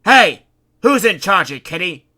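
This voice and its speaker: A woman's voice: low-pitched, lively intonation, moderate pace, pushed and bright, voice pushed up. A woman voicing a bossy man who leads a ship's crew and demands to be obeyed. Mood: angry. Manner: pushy, demanding. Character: bossy adult man, ship's expedition leader.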